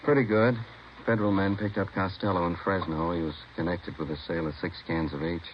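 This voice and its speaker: Police captain, male actor: American, very low voice, steady and businesslike, muffled vintage radio crime drama. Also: fast, lightly acted. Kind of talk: 1950s radio drama acting. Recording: dull, noisy old radio recording.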